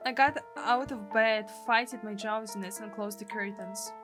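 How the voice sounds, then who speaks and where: A woman's voice: mid-range voice, fairly even intonation, normal speed, music in the background. A woman from Russia, reading a visual novel's story aloud expressively as a video host.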